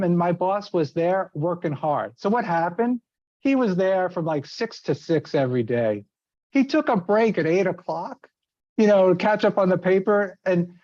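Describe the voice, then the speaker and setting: A man's voice: a little high, darkish tone, dry recording. Middle-aged guy from near new york, seminar talk, keeps restarting with "uh, you know", dry close sound. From outside New York City. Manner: conversational, many fillers and restarts. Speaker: seminar speaker. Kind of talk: informal talk with anecdotes. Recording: dry, slightly dull sound.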